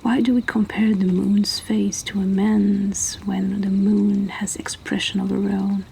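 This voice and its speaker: Whispering female host for a fireside poetry reading, slow, mellow tone, faint background ambience. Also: medium pitch, flat delivery, creaky, slight background noise, poor audio. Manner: soft whispering, slow and hushed. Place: indoors by a fire.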